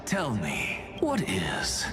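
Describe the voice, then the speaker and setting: A man's voice: deep voice, wildly swooping pitch, drawn-out words, full character voice. A man playing a theatrical, teasing scholar in a video game, sly and sardonic as he probes. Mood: sly, probing. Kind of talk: scripted video game dialogue. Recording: loud background music.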